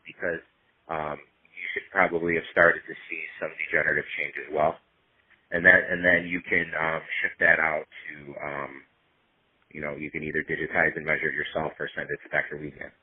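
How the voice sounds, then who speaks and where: A man's voice: little pitch movement, moderate pace, no room sound. A man giving casual professional advice on a phone consultation, explaining step by step and checking in as he goes. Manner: explanatory, checks in with "right?" and "you know". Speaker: medical consultant advising a colleague. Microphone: telephone line. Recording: tinny narrowband phone call, low audio.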